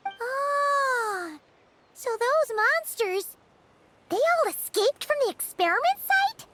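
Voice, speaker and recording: A woman's voice: very high voice, big pitch swings, slow pace, pushed and bright, a little hiss or hum, exaggerated voice. A woman voicing a small, naive, anxious childlike companion creature in a video game, asking wide-eyed questions. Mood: worried.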